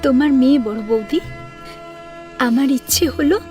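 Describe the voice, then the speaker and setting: A woman playing a gentle, self-effacing young female student in an audio story, soft-spoken and dignified though hurt. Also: sits high, a little slow, faint music behind. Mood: quietly resigned. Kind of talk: Bengali audio story acting.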